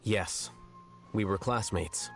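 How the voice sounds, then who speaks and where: An actor playing an aloof, blunt young scholar in an anime-style video game, speaking in a measured, formal way. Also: male voice, lowish voice, creaky, soft background music, full character voice. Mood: dismissive. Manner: measured, formal, matter-of-fact.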